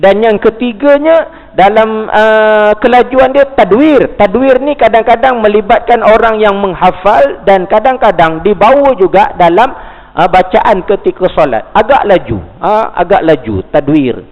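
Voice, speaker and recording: A man with a Malaysian Malay accent delivers a mosque lecture in a high voice. He mixes formal reading with chatty asides and rhetorical questions. The dull recording carries the long reverb of a mosque hall. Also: expressive, measured pace. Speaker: religious teacher.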